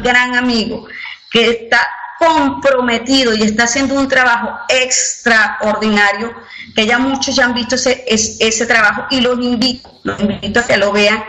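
A woman's voice: a little high, bright, forceful tone. A woman presenting at an online company event, courteous and formal, with filler words. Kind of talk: webinar presentation. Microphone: computer mic on a web conference. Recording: roomy web conference audio, faint noise.

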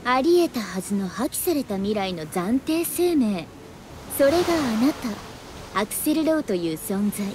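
A woman's voice: mid-range voice, very animated, a little slow. A woman voicing an otherworldly young female being in a video game, playful yet solemn and knowing. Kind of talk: anime video game voice acting. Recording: noisy game ambience under voice.

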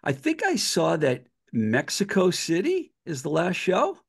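A man in his sixties with a softened Boston accent, chatting casually and jokily as a podcast interviewer. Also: high voice, huge pitch swings, measured pace, creaky, breathy edge. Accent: Boston, softened by years in Los Angeles. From Boston area, US. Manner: jokey, informal. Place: small home room on a video call. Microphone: desk microphone with earphones.

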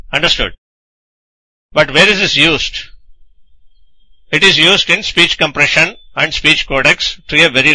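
A man with a very bright, sharp voice pitched a little high delivers a technical lecture. He explains in a didactic, instructional way, setting out questions and answering them. The sound is dry and close. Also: no echo, poor audio. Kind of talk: scripted technical lecture narration.

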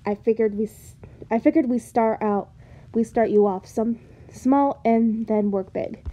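A woman's voice: a little high, fairly even intonation, measured pace, mellow. A woman voicing an eager male inventor, insistent and grandiose, in an amateur comic dub. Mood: scheming. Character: eager, commanding male inventor, robot's creator.

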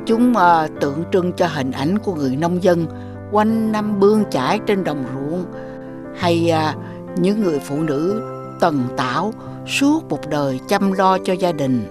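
A woman's voice: lowish voice, expressive, unhurried. A woman playing a kind, wise old village grandmother in a children's cartoon. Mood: patient, explaining. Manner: gentle, instructive. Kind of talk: children's animated cartoon acting. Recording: music behind the voice.

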